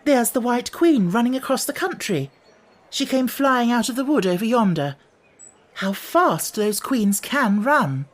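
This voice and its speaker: A man voicing a curious, polite, excitable young girl in a storybook, bright and eager. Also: high-pitched, moderate pace, pitched above the performer's own voice. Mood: excited.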